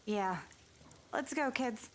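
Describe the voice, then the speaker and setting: A woman's voice: on the high side, slow pace. A woman playing a practical, thoughtful mother in a comic dub, reflective and understated. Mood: subdued.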